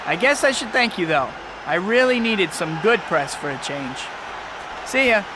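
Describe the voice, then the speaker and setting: An actor playing a cocky, unfazed young superhero in a video game, flippant and dryly sarcastic. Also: male voice, high-pitched, expressive, moderate pace. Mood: teasing. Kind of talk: video game cutscene acting. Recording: noisy outdoor city ambience.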